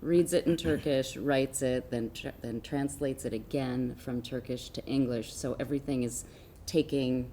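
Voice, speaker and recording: A woman's voice: very deep voice, fairly even intonation, normal speed, hardly any pauses, dark tone, slight background noise. A woman on the school staff speaking with admiration about a student at a board meeting. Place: meeting room.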